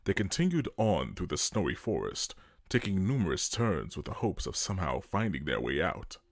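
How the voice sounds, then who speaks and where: Low-voiced male narrator, animated delivery. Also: normal speed, no echo. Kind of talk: dramatic scripted narration.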